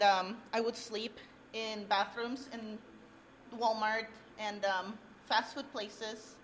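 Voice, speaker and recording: Candid Peru-born woman, bright voice. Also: on the high side, a little hiss or hum. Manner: candid and earnest, with light nervous laughs. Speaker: workshop presenter. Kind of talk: personal testimony at a workshop. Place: workshop room with a live audience. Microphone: no handheld mic, room recorder.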